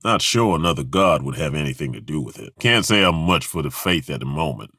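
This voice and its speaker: An actor playing a gruff, blunt male ex-temple guard in a game, speaking with a very deep, commanding voice and a wry, casual way of swearing. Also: expressive, brisk, pushed and bright, no room sound, clean studio sound, full character voice. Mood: reflective. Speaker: companion in a game. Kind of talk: game voice acting. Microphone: studio microphone.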